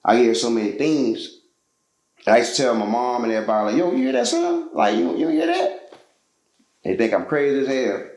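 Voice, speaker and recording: A man's voice: mid-range voice, brisk. Man, interview guest, slangy, rambling, echoey room. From Georgia, US. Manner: relaxed storytelling, loose slangy talk with many fillers. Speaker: interview guest, musician and producer. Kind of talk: casual interview.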